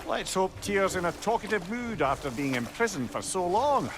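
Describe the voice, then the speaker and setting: An actor playing a witty, learned severed head in a video game, speaking in a wry and voluble manner. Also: male voice, high voice, very animated, normal speed, background noise. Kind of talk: dramatic video game acting.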